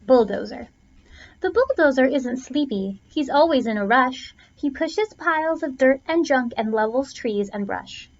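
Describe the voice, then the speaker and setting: A woman's voice: high-pitched, lively intonation, a little slow. A woman reading a rhyming children's picture book aloud with theatrical phrasing, stretching and punching key words. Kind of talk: expressive children's storybook read-aloud, rhyming verse. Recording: dull, lo-fi sound.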